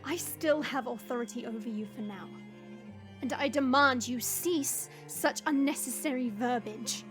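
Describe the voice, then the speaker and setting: A woman's voice: high-pitched, a little slow, music in the background. A woman playing a proud young dragon princess in human form in an audio drama, speaking formally and with authority. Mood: commanding. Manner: formal, commanding.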